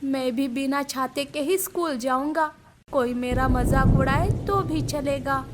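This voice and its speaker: A woman's voice: high voice, measured pace, very noisy. A woman voicing a poor, dutiful, anxious young schoolboy with an earnest, pleading manner in a moral-story cartoon. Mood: troubled.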